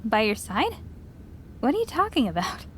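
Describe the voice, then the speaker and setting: A woman's voice: on the high side, big pitch swings, normal speed, a little hiss or hum. An actress playing a teasing but caring female wrestling promoter and loyal friend in a video game cutscene. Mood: playful.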